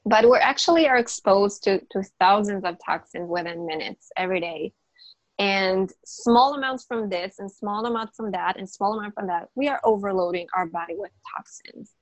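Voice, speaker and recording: A woman's voice: medium pitch, normal speed, no room sound, low-quality recording. Emphatic non-native English-speaking woman coach. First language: not English. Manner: emphatic, repeats words, many restarts and fillers. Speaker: natural health coach, podcast guest. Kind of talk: casual interview explanation.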